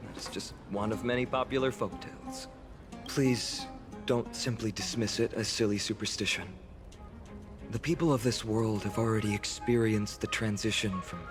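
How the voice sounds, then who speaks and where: A man's voice: on the low side, close to an everyday voice. A man playing an earnest, courteous young soldier hero in a video game. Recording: game music under the voice.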